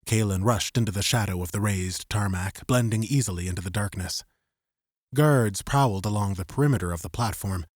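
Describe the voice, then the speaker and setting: A man narrating scripted fiction as an audiobook, with measured, suspenseful storytelling. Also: fairly low pitch, darkish tone, no room sound, studio quality.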